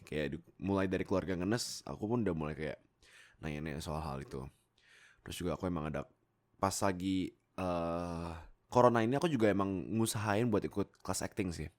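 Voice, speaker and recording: A young man with a low-pitched voice and an Indonesian accent talks casually into a desktop mic. He tells personal stories in a loose, digressive way, wandering off and circling back. His manner is chatty and self-deprecating, like a content creator speaking to viewers. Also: darkish tone. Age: young adult. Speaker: YouTube content creator and amateur voice actor. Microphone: Fifine K690 desktop USB microphone.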